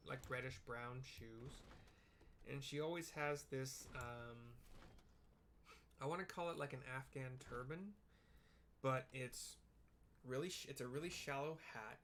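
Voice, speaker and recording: A man's voice: mid-range voice, a bit bright, a little hiss or hum. A man giving casual, descriptive commentary on a video game in an informal, meandering way.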